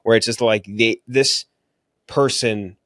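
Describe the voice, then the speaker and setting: A man's voice: on the low side, steady tone, slow pace, a bit bright, dry recording, clean studio sound. A man hosting a podcast, chatting casually and bluntly about films in an informal way. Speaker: podcast host reviewing movies. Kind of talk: casual movie-review chat.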